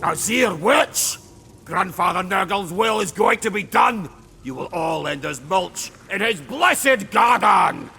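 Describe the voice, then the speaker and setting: A bellicose, rotten plague lord in a fantasy game. His voice is high, forceful and full of gravel, gloating theatrically through long reverb. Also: expressive, slow pace, full character voice. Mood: menacing bluster. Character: blustering, diseased demonic plague lord villain. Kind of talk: villainous fantasy game acting.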